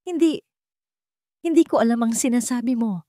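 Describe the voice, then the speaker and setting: A woman's voice: a little high, normal speed, dark tone, no room sound, studio quality, voice pushed up, lightly acted. A woman voicing a scheming young villainess in an audio drama, ingratiating and theatrical. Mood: frightened.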